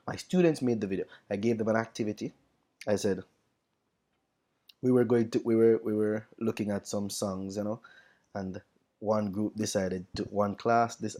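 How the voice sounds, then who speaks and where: A man's voice: on the low side, warm tone. A man with a Jamaican accent talking casually as a teacher reflecting on life abroad, emphatic and repeating phrases to stress them. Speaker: teacher sharing experiences of working abroad.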